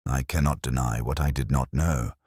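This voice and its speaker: A man's voice: extremely low pitch, fairly even intonation, moderate pace, darkish tone, husky, no echo, clean studio sound. A man voicing a proud young politician in a mystery audiobook, formal, firm and defensive. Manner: courteous, formal, faintly irritated.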